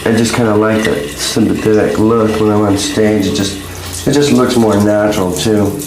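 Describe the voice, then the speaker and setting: Low flat-voiced young man, echoey room. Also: noisy background. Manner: halting, searching for words, restarts often. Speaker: punk band founder and singer. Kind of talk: casual documentary interview.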